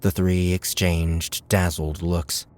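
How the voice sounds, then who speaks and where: A man's voice: sits low, level tone, slow, darkish tone. A man reading scripted fiction as an audiobook narrator.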